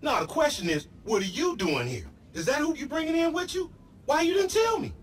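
A man's voice: very high voice, sing-song, fairly quick, slight background noise. A man playing a brash, aggrieved hustler buddy in a video game, theatrical and boastful, with slangy trash talk. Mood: indignant. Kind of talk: video game comedy acting.

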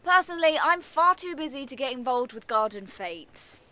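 A woman's voice: high voice, animated, normal speed, sharp tone. A woman with a British accent playing a sardonic, defiant neighbour in an audio comedy play, brusque and sarcastic.

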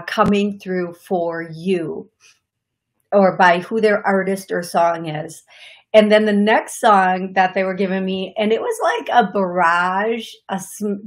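Raspy-voiced woman hosting a psychic show. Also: medium pitch. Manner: chatty, apologetic, laughs often, gestures with hands. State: raspy voice from allergies. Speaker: psychic medium show host. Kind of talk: solo livestream talk to viewers. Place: living room, seated on leather couch.

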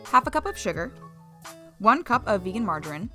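A woman's voice: mid-range voice, big pitch swings, fairly quick, sharp tone. An American woman in her twenties hosting a cooking vlog in a chatty, playful, sarcastic and self-deprecating way. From United States. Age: 20s. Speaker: cooking channel host. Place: home kitchen, indoors. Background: background music.

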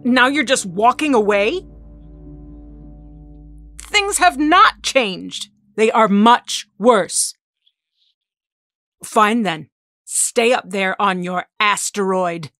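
A woman's voice: mid-range voice, expressive, drawn-out words, pauses often, a bit bright, no echo, studio quality, faint music behind, full character voice. A woman playing a bitter, commanding mutant villain leader in an audio drama, curt and scornful. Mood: angry, exasperated.

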